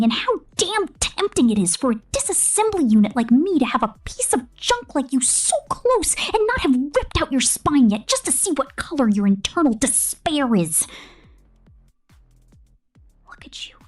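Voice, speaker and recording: A woman playing a sadistic, possessive killer robot in an ASMR roleplay, whispering menacing, drawn-out taunts. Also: high voice, slightly rough, exaggerated voice. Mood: menacing, hungry. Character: sadistic possessive female killer robot toying with human prey.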